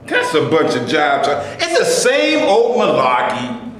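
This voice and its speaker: Staged reading, distant reverberant recording: male performer as sarcastic, aggrieved screen actor, high voice, very animated pitch. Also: unhurried, exaggerated voice. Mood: scornful. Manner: exclamatory, mocking. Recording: echoey live room, distant camera, noisy.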